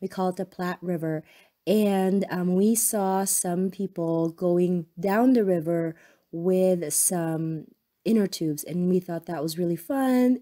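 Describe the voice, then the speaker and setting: Hoarse lady w a cold doing a crafting voiceover, lowish mellow voice, lots of ums. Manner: chatty, rambling with frequent ums and self-corrections. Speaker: crafting channel host. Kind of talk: casual voice-over tutorial.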